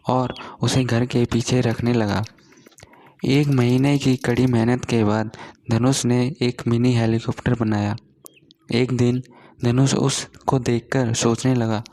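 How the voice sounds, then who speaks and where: A male narrator reads a scripted children's tale. His pace is slow and his medium-pitched voice stays level, with little rise and fall. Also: a little mellow.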